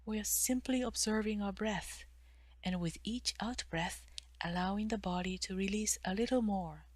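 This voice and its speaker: Woman, meditation guide, soft husky-breathy voice, gentle measured instructions, near-whispered endings, dry recording. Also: mid-range voice, no echo. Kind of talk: guided meditation for mindful stretching.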